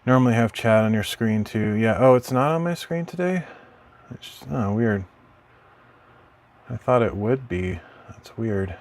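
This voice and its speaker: A man streaming from his craft room at home, chatting casually while he works, rambling and correcting himself in a mild, low-key way. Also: animated, measured pace, pauses often, darkish tone.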